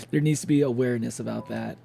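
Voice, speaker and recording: A man livestreaming a video game, chatting casually and haltingly with viewers, with informal, sweary speech. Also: mid-range voice, fairly even intonation, gravelly, dark tone. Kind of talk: casual chat with stream viewers. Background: game music.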